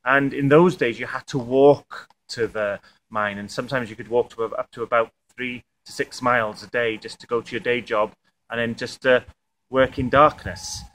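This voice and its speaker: A man's voice: mid-range voice, fairly even intonation, moderate pace, fairly bright tone, poor audio. A Welsh man in his thirties, a native Welsh speaker, answering interview questions outdoors, hesitant with many fillers and restarts. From Wales. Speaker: tourism representative. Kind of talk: on-camera interview. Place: outdoor museum yard by stone buildings. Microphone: camera mic, outdoors. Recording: outdoor noise behind.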